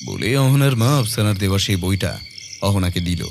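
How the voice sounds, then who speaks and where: A man's voice: low voice, big pitch swings, normal speed, creaky, background noise. A man narrating a scripted ghost story in a dramatic audio-story style.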